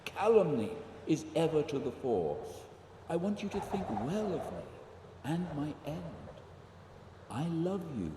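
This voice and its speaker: An actor playing a dying Antarctic explorer in a radio play, earnest and solemn, speaking his farewell in halting phrases. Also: fairly high pitch, very animated. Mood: wounded, pleading. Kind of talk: radio drama monologue. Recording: roomy long reverb, faint noise.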